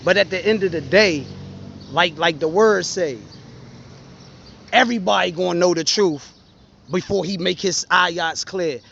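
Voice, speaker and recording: A man's voice: a little slow, creaky, long pauses. A man, co-hosting a casual debate and telling stories animatedly. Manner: animated storytelling, quotes others in high voices. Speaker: podcast co-host. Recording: dull lo-fi sound.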